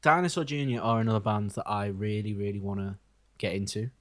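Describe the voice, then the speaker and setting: A man co-hosting a music podcast, giving his opinions in casual chat with wry, deadpan humour. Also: fairly low pitch, a little fast.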